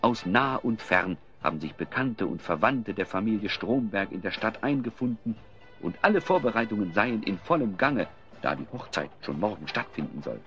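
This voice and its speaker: A man's voice: fairly low pitch, lively intonation, normal speed, fairly bright tone, highs cut off, faint music behind. A man narrating a radio play as a storyteller, with scripted delivery.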